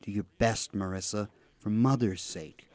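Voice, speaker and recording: A man's voice: lowish voice, fairly even intonation, unhurried, darkish tone, no echo, lightly acted. Man playing an earnest, worried father, dry sound. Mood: earnest, coaxing. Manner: earnest, coaxing. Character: poor, worried, coaxing father of a little girl. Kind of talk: radio play acting.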